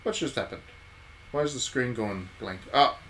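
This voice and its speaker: A man's voice: medium pitch, normal speed. Man thinking aloud, noisy background. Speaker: game streamer. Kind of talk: casual live commentary on a visual novel playthrough. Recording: steady background noise.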